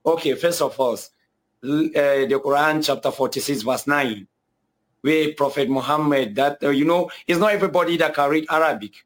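Earnest Nigerian man, slightly high voice. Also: moderate pace, rough recording. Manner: earnest, repeats himself, many hesitations. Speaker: guest telling his conversion testimony. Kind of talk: personal testimony.

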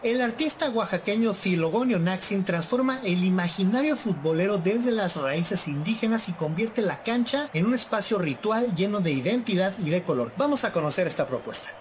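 A woman's voice: mid-range voice, expressive, moderate pace, hardly any pauses. Woman presenter, faint background noise. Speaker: radio presenter. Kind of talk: scripted segment introduction. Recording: faint ambient noise behind.

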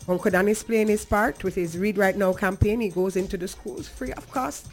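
A woman with a Jamaican accent talking earnestly and conversationally as a talk show host, emphatic and now and then slipping into patois. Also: mid-range voice.

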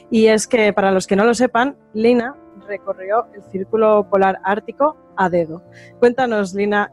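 A woman hosting a conversational interview, putting questions to her guest and commenting on the answers. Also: mid-range voice. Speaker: interviewer, host.

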